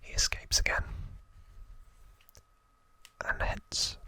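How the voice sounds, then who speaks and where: Slow whispering man, ASMR style. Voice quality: breathy, unvoiced whisper. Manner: slow, hushed, unhurried explaining with pauses. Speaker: ASMR host. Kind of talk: whispered ASMR trivia narration.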